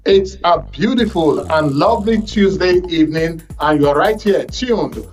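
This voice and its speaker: A man's voice: on the high side, a little slow, a little mellow. Nigerian male talk show host over music. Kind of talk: current affairs programme presenting.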